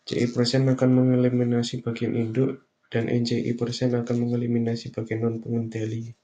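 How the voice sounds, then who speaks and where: A young man giving an explanatory slide presentation in a stilted, halting, read-out manner. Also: mid-range voice, monotone, dark tone. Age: young adult. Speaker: university student presenter.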